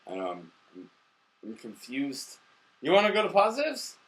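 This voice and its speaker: A man's voice: medium pitch, wildly swooping pitch, moderate pace, fairly bright tone, low-quality recording. A drunk man co-hosting a casual, profane movie review, rambling and easily losing the thread. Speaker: movie review show host.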